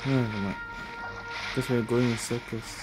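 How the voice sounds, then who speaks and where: A man's voice: mid-range voice, fairly even intonation, moderate pace, poor audio. A man giving casual gameplay commentary, thinking aloud in short fragmented remarks. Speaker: gaming streamer. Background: game music.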